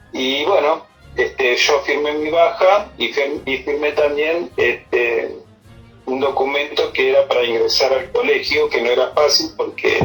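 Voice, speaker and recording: Argentine guy, middle-aged army officer on a phone call, talks in halting bits, music playing behind him. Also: medium pitch, fairly bright tone. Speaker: army senior non-commissioned officer being interviewed. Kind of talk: informal interview answers, personal recollection. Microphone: telephone.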